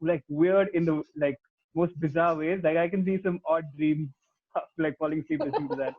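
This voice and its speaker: A man's voice: on the high side, steady tone, moderate pace. A man chatting casually as the co-host of a podcast. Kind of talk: casual chat. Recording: dull, lo-fi dry audio.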